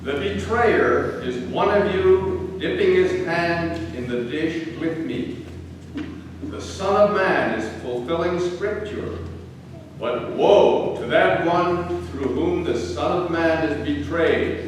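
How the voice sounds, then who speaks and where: A man playing Jesus as a solemn, deliberate religious teacher in a dramatic church reading of scripture. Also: on the high side. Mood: grave foretelling. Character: Jesus, solemn male religious teacher foretelling his betrayal. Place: large church during a service. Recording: very echoey church hall, distant mic, noisy.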